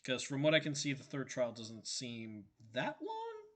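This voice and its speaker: A hoarse male streamer in casual stream chat, tired and rambling, thanking his viewers. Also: medium pitch, wildly swooping pitch, a little slow, a bit bright. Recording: game music playing behind.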